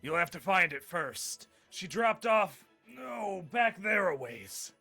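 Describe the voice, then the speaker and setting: A man's voice: high-pitched, big pitch swings, slow pace, vocal fry, sharp tone, faint music behind, heavily acted. A man playing a weary, anxious but kindly old Santa Claus in a live table read, delivered emphatically. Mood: encouraging, urgent.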